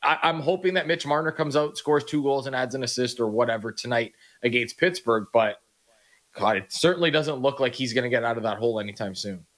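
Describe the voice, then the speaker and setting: Measured sports radio host. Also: male voice, mid-range voice, fairly bright tone. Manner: analytical, measured, conversational. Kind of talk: sports talk radio chat.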